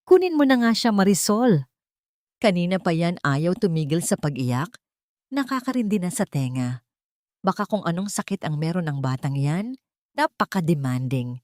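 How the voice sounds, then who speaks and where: A woman's voice: lowish voice, very animated, normal speed, a little mellow, no room sound. A haughty, irritable woman, as a female storyteller acts her out in a melodramatic story. She orders people about in a curt, complaining manner. The recording is dry and close. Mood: irritated.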